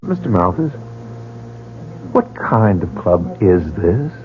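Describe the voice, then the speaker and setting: A wary, curious newcomer. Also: male voice, sits low, very animated, takes it slowly, a little hiss or hum, heavily acted. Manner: inquisitive, wary. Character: curious, guarded male newcomer. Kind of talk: 1940s radio drama acting. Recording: phone-like old radio broadcast.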